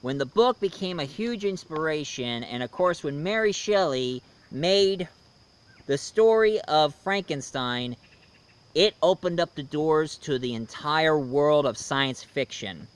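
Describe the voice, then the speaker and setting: A man giving a casual, conversational review commentary with history asides, speaking informally and haltingly as he goes. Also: on the high side, lively intonation, clean tone. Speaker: video reviewer.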